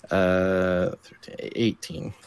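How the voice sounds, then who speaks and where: A man's voice: low-pitched, steady tone, drawn-out words, creaky, clear, resonant tone. A man playing in a tabletop role-playing game, chatting casually with the group as he plays. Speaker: player.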